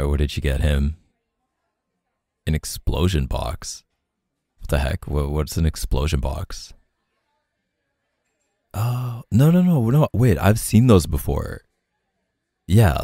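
A young man playing an easygoing, tender boyfriend in an intimate roleplay audio, speaking softly and hesitantly in casual slang. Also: very deep voice, gravelly, dry recording, studio quality, full character voice.

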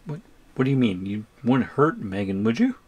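A man playing a shrunken captive in an ASMR roleplay, anxious, pleading and trying to reason calmly. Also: medium pitch, normal speed, husky, muffled recording. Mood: worried. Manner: pleading, placating.

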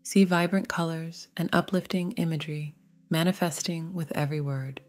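A woman narrating a scripted guided meditation in a gentle, soothing, measured way. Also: sits low, fairly even intonation, no room sound.

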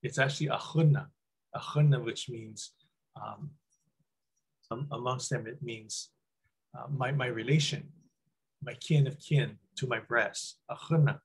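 A man's voice: mid-range voice, unhurried, pauses often, slightly rough, rough recording. A middle-aged man from Hawaii, giving a casual, rambling talk over a webcam call, with lots of ums and restarts. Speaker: guest speaker. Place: small room indoors. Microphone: webcam on video call.

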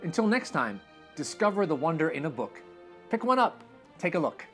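A young man reading a storybook aloud to children in an animated, expressive way, recorded in a living room. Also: fairly high pitch, normal speed, soft background music. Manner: animated and expressive, dramatic swings in tone. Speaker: read-aloud host. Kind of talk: storybook read-aloud narration. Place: indoor living room with sofa.

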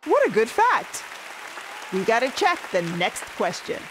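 Cheerful, lively cartoon quiz co-host. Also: female voice, on the high side, a little slow. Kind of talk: scripted game show hosting. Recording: reverberant studio with crowd noise.

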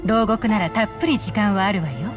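A woman voicing a cool, shrewd female agent in an anime game, composed, measured and pointed. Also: mid-range voice, lightly acted. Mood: calm, businesslike. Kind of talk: anime video game voice acting. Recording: long hall reverb, game music behind. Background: background music.

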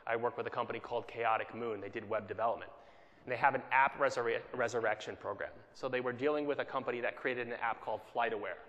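Keynote speaker in a reverberant hall. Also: male voice, mid-range voice, steady tone, fast talker, fairly bright tone, dull audio. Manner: conversational, checks in with "right?" often. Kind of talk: conference keynote talk. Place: conference hall.

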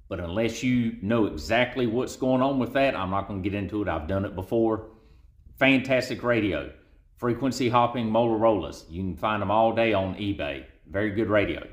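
A man's voice: fairly low pitch, roomy sound. A man in his thirties or forties with an American accent, giving a casual, folksy explainer to camera in short emphatic phrases, recorded on a phone at arm's length indoors. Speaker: preparedness video host. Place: indoors, small bare-walled room.

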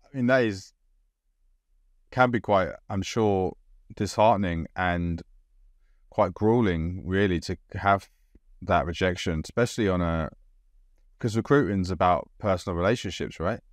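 A man in his forties hosts a podcast over a video call, speaking through an earphone mic in a dry home room. His voice sits very low for a man. He talks in a reflective way, searching for his words as he goes. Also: unhurried, pauses often, no echo. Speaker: podcast host. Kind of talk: conversational interviewing. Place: dark home room with bookshelves.

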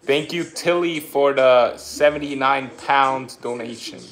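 A man's voice: medium pitch, measured pace, a bit bright, reverberant. A man in his twenties from Austria, hosting a casual livestream from a couch, chatting upbeat and thanking viewers for donations. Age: 20s. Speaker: livestream host. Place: living room couch indoors. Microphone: phone camera propped in front.

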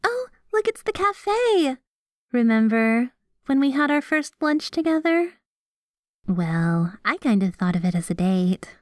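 A woman's voice: high-pitched, sing-song, measured pace, darkish tone, dry recording, studio quality. A woman playing a sweet, devoted, jealous yandere girlfriend in an ASMR roleplay, speaking with affectionate, playfully possessive manner. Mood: excited. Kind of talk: ASMR roleplay, scripted one-sided conversation.

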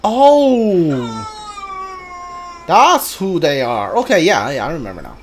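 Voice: medium pitch, wildly swooping pitch, slow pace, slight background noise. A video game streamer giving casual commentary and reading on-screen dialogue aloud, muttering informal asides. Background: video game ambience.

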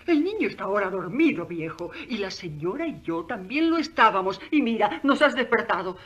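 An actress playing a sleepy, outspoken maidservant in a radio drama, fussy and complaining. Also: female voice, medium pitch, big pitch swings, a little fast, a bit bright, muffled recording. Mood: grumpy, sleepy.

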